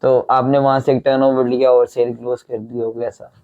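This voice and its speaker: A man's voice: mid-range voice, flat delivery, brisk, narrow, tinny audio. A man interviewing a guest, asking questions conversationally with short acknowledgements.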